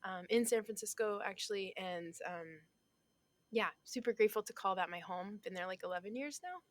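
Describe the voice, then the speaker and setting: A young adult woman from Florida, talking as a guest artist in a casual interview, thoughtful, with many pauses and soft laughs. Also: mid-range voice, no room sound.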